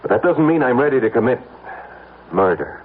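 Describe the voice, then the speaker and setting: Indignant reluctant fellow, deep, lively inflection, defensive, radio drama, narrow vintage sound. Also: male voice, fairly quick. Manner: defensive, emphatic. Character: reluctant, indignant ordinary man under pressure. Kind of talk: old radio drama acting.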